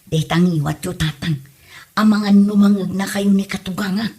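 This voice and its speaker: A woman's voice: mid-range voice, fairly even intonation, moderate pace, mellow, lightly acted. A woman playing an exasperated married daughter who questions and scolds, with an Ilocano Filipino accent, in a comedy audio drama. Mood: shocked, reproachful. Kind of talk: comedy radio drama acting.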